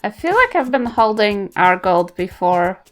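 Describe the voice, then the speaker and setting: A woman's voice: mid-range voice, very animated, a little slow, soft background music. A woman playing a tabletop game, half in character, in a bossy, fussing manner. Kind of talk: casual in-character play and chat.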